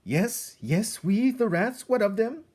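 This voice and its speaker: A man's voice: sits high, expressive, slow pace, darkish tone, slightly rough, voice pushed up, heavily acted. A man voicing an anguished, theatrical mother mouse in a storybook, pleading and exclaiming in an overwrought way. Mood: desperate.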